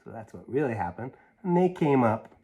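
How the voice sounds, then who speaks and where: Male children's story reader, medium pitch, conversational, explaining and asking listeners questions in an informal way. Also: very animated, a little fast, dark tone, breathy edge, highs cut off. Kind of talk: casual read-aloud with asides to young listeners.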